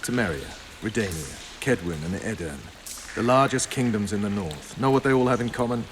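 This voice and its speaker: An actor playing a gruff, cynical military commander in a video game, blunt and sardonic. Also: male voice, fairly low pitch, animated, moderate pace, background noise, lightly acted. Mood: grim, wry. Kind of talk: video game cutscene acting. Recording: faint rain and ambience behind.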